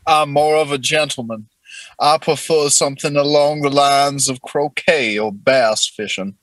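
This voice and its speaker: Man putting on a slow, genteel Southern gentleman scoundrel. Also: mid-range voice, no echo, lightly acted. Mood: courtly, suggestive. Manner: florid, courtly drawl. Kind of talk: improvised comedy role-play.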